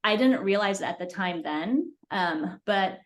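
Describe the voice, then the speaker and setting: A woman's voice: mid-range voice, animated, measured pace. A woman in her forties from Hong Kong, chatting as a podcast guest, talking freely with many fillers. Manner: chatty, many fillers, laughs between phrases. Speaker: podcast guest, beverage founder. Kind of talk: casual interview chat.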